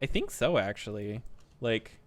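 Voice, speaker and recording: A man's voice: fairly low pitch, expressive, measured pace, faint music behind. A man in his twenties chatting casually while playing a game, rambling, swearing freely and joking about himself. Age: 20s. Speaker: streamer.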